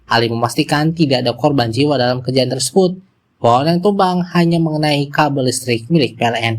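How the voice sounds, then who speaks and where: A man with an Indonesian accent reading scripted news as a presenter, moving through formal bulletin copy. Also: medium pitch.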